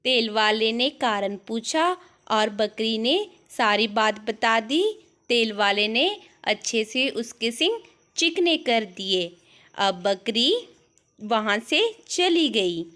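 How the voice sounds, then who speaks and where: A woman's voice: on the high side, sing-song, takes it slowly, fairly bright tone. A woman narrating a scripted children's story as the storyteller.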